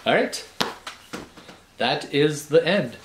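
A man's voice: on the high side, lively intonation, slow. A man in his forties reading picture books aloud as a bedtime storyteller, playful and theatrical, with chatty conversational asides. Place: small furnished room at home, indoors. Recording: roomy home recording with clear background hiss.

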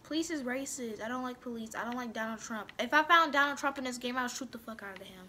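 Young female gamer, bossy and foul-mouthed, high and piercing. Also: fast, bright, forceful tone, slight background noise. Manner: bickering, bossy, swears a lot. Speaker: gaming vlogger. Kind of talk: casual gameplay commentary.

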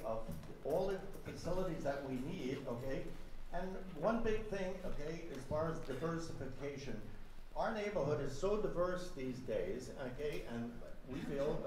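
A man's voice: mid-range voice, darkish tone. Man in his seventies, plain-spoken resident at a hearing, distant and very reverberant. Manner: plain-spoken, punctuated with "okay". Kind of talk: informal public comment. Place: large gymnasium, public meeting. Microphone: handheld PA heard from far camera. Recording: very echoey gymnasium, distant, faint noise.

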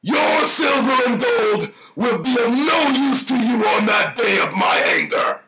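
Shrieking, gravelly monster villain. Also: male voice, extremely high pitch, lively intonation, bright, forceful tone, shouting, exaggerated voice. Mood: wrathful, preaching. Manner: grandiose, menacing, drawn-out declaiming. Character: ancient vengeful male monster villain, theatrical, wrathful and gloating. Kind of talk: theatrical villain acting in an animated trailer. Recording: echoing voice over music.

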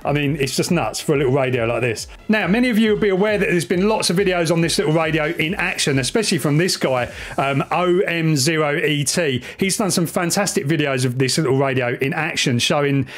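A man's voice: fairly high pitch, brisk. A man in his forties presenting a casual, enthusiastic, informal tech review and explaining as he goes. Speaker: amateur radio vlogger and presenter. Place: small indoor room, home radio shack.

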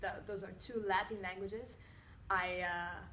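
A woman in her twenties from the part of Switzerland, answering fan questions to camera in a composed, measured way with small pauses. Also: mid-range voice, fairly even intonation, pushed and bright, a little hiss or hum. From Italian-speaking Switzerland. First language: Italian and Russian. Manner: composed, measured, slight non-native phrasing with filler pauses. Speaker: blogger and TV presenter. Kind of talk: direct-to-camera Q&A. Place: bright indoor room with wooden floor, seated in chair. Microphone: camera a few feet away.

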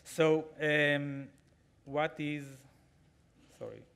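A man in his forties with an Israeli accent, giving a keynote talk with a live demo from a podium microphone, halting with frequent fillers and restarts. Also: a little high, monotone, fairly bright tone, smooth. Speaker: conference keynote speaker. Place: large conference hall, at a podium.

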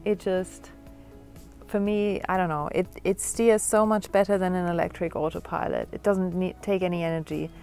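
A young woman chatting easily about sailing equipment, relaxed and easygoing. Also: lowish voice, normal speed, soft background music. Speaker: guest sailor. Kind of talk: casual conversation on a sailboat. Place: outdoors on a sailboat cockpit.

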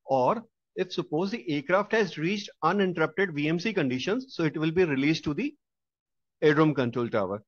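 Male instructor voice-over, slightly high pitch, patient explainer, muffled dry recording. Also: lively intonation, brisk, dry recording. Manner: explanatory, with hesitations and repeated restatements. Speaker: aviation instructor. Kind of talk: slide-based tutorial narration.

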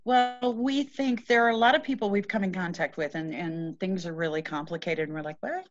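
A middle-aged woman speaking earnestly as an interview guest, explaining energy healing in casual conversation with pauses. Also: on the low side, soft background music. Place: small room at home. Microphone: video call, shared device at arm's length. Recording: dull, muffled video-call audio.

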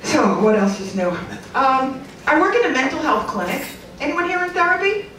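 A woman in her forties doing a stand-up comedy set into a handheld mic, landing wry, deadpan punchlines with lively asides. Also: high-pitched, normal speed, slight background noise. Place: comedy club stage, audience laughing. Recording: roomy club sound from a far camera.

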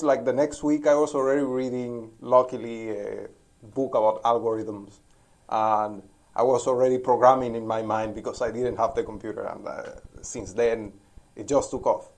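A man in his forties from Cuba, giving relaxed, thoughtful interview answers, pausing often and restarting as he talks, filmed outdoors on a rooftop terrace on a phone at arm's length. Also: mid-range voice. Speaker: interview guest, DevOps engineer. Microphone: phone held at arm's length.

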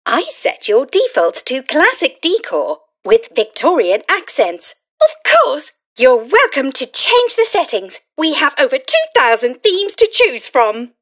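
A woman's voice: sits high, huge pitch swings, measured pace, fairly bright tone, exaggerated voice. A woman playing a tiny cheerful electronic fairy helper in an audio play, gushing and encouraging with eager sales-like patter. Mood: cheerful, helpful. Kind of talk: scripted audio play acting.

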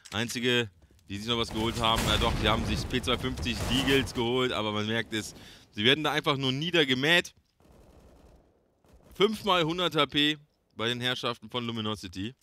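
A man's voice: medium pitch, brisk, bright, forceful tone. A man casting a game alone as play-by-play commentator, chatty and addressing viewers as friends. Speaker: esports caster. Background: game gunfire and clicks.

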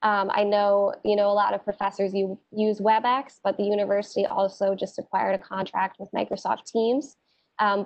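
A woman's voice: medium pitch, a little mellow. Woman moderating a virtual town hall. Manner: reads out questions, then explains steadily. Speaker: dean and moderator. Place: home office. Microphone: video call on a laptop. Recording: dull, muffled video call.

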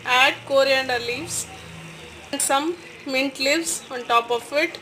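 A woman talks through a recipe step by step as a voice-over. Her voice is high and bright, and she speaks slowly. Faint frying noise sits behind her. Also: rough recording. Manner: slow, step-by-step instructions. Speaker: cooking channel host.

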